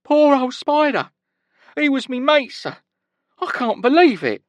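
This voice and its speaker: A sly male prisoner pushes his voice high and quavering, pleading like someone frightened out of his wits, as a narrator puts him on. Every line trembles with put-on panic. The sound is close and dry, with no room around it. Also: sing-song, fast, fairly bright tone, dry recording. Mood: feigned terror. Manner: terrified pleading. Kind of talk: audiobook character voice.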